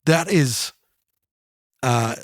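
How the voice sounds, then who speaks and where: A mid-pitched man co-hosts a music podcast. He thinks out loud, stops and starts, and repeats his words as he goes. His voice sits close and dry on the mic. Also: lively intonation, dry recording. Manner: thoughtful, halting, repeats words. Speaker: podcast co-host. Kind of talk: casual podcast chat.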